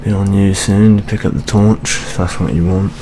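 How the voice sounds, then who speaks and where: A young British man playing a weary, jaded older police detective in a soft-spoken ASMR roleplay, muttering with gloomy gallows humour. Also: deep voice, steady tone, moderate pace, a little hiss or hum. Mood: jaded, resigned. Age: young adult.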